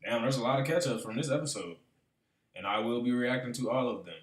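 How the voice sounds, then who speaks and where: A man's voice: mid-range voice, fast talker. A man hosting a reaction video, talking casually and thinking aloud with plenty of fillers. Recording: echoey room, mic far off.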